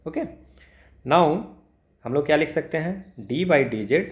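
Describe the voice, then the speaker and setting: An Indian man gives an informal maths lesson in a slightly high voice. He works through each step very slowly, mixing Hindi and English and often checking in with short tags. The sound is echoey and roomy. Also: animated. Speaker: maths teacher. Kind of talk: informal exam lesson, explaining worked equations step by step.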